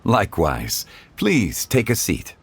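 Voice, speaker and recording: A man playing a composed, learned gentleman in a video game, speaking with formal, measured eloquence. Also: on the low side, huge pitch swings, a little hiss or hum, heavily acted. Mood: courteous. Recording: light music under the dialogue.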